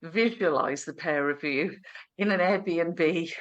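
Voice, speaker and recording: A woman's voice: on the low side, takes it slowly, muffled recording. A woman hosting a podcast interview, asking her guests about their book with encouraging remarks. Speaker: podcast host.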